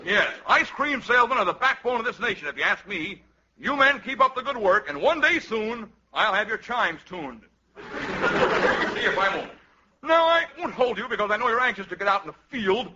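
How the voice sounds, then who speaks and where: A pompous sales manager in a 1950s radio comedy. He is high-voiced and falsely hearty, full of bluster. The old recording is dull and heavily hissy. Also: sing-song, normal speed. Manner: pompous, falsely hearty pep-talk delivery. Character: blustery, pompous male ice cream company sales boss.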